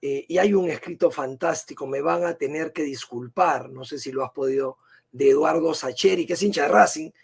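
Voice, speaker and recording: A man with a husky voice, hosting a conversational radio interview. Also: fairly high pitch, moderate pace.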